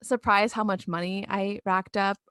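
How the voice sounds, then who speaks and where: A woman's voice: medium pitch, steady tone, normal speed, a bit bright, smooth, dry recording, studio quality. A young woman with an American accent, chatting casually and informally as a podcast co-host, giggly in manner. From California, United States. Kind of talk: casual chat.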